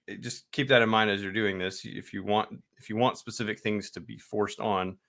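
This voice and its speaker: A man co-hosting an IT training video, explaining settings in a halting, loose way with many restarts. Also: fairly low pitch, fairly even intonation, vocal fry, fairly bright tone. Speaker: IT trainer, co-host. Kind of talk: casual tech explanation.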